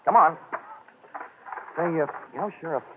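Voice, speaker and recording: Wisecracking American private eye male actor, dry and cocky, vintage radio drama, thin sound with clear hiss. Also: fairly high pitch, moderate pace, natural voice. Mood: sly. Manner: dry, sardonic, slangy delivery. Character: wisecracking, hard-boiled male investigator, cocky and sardonic. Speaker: lead actor and narrator of a detective serial. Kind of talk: 1940s radio detective acting. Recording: tinny, noisy old radio broadcast.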